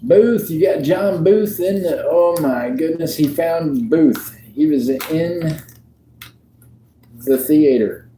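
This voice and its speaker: Laid-back guy on a livestream, drawls slow, cracks jokes, clear background noise. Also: a little high, dark tone, poor audio. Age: 50s. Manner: laid-back, joking, chats with viewers. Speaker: livestream host. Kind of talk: casual livestream chat while sorting coins.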